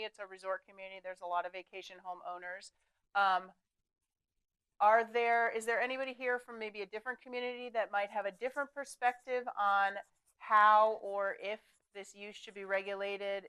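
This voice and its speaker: A woman from planning staff moderates a public meeting in a polite, measured way. Her voice is smooth and clear, with a middle pitch and frequent pauses. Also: fairly bright tone, rough recording. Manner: polite, measured, with many ums.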